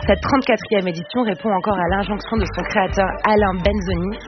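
Male radio presenter with a high-pitched voice, reading culture news and putting questions, clear music bed underneath. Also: fairly even intonation, fairly quick. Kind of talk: scripted radio presentation and interview. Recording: dull radio sound over music bed.

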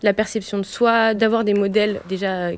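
A woman's voice: medium pitch, steady tone, moderate pace. A young woman from France, a civil service student, answering interview questions earnestly with many hesitations.

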